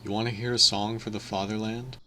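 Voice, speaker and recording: A man's voice: fairly low pitch, little pitch movement, brisk, bright, forceful tone. A man playing a bitter, defiant young musician full of moral outrage in an amateur audio drama.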